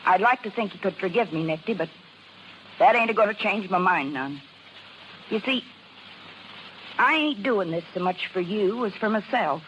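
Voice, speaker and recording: An actress playing a tired, broke carnival dancer in a 1930s American radio drama, speaking hesitant, folksy slang. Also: female voice, medium pitch, lively intonation, moderate pace, pauses often, highs cut off, slight background noise, close to an everyday voice. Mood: despairing, resigned. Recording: vintage 1930s radio, faint music behind.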